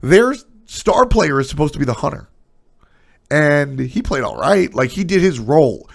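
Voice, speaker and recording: A man's voice: on the high side, very animated, normal speed, vocal fry. A man with a stuffy, nasal voice streaming casual, blunt opinions on esports, trailing off into asides.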